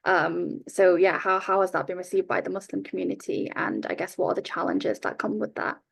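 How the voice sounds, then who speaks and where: A woman interviewing a guest on a webinar, asking hesitant questions with many pauses. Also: fairly low pitch, fairly even intonation, gravelly, husky.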